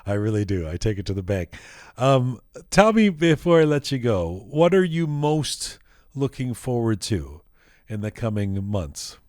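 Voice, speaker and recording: A man's voice: mid-range voice, very animated, unhurried, a little mellow, no echo, clean studio sound. Teasing, friendly male interviewer. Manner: friendly, teasing, stammers on restarts. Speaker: podcast host, interviewer. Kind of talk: conversational interview.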